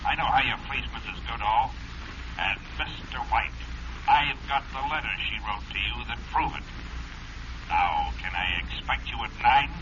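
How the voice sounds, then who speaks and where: An actor plays a cocky small-time male crook from a 1940s radio thriller. He leans on people with sneering, slangy threats in a mid-pitched voice. The old recording is dull and plainly noisy. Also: moderate pace, lightly acted. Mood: menacing. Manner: sneering, slangy tough talk. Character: cocky cheap crook, cold blackmailer in debt.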